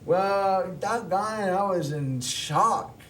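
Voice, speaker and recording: A man with a fairly high voice tells a story in a loose, meandering way, restarting his sentences as he goes. He sits some distance from the mic, with a faint hum of room noise behind him. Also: takes it slowly, fairly bright tone. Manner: rambling, with frequent restarts and laughs. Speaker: show host telling a personal story. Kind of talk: casual storytelling chat. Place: small local TV studio.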